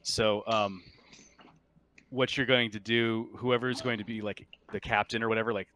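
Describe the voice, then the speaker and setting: A man's voice: on the low side, level tone, normal speed, bright, forceful tone. A man running a tabletop game as game master, explaining rules casually and thinking aloud as he goes.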